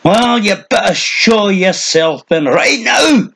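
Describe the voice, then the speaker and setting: A man voicing a cranky, hunched old hermit with a high, strident, shrill voice who rants and mutters. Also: expressive, takes it slowly, creaky, a bit bright, slightly rough, full character voice. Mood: angry ranting. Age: older.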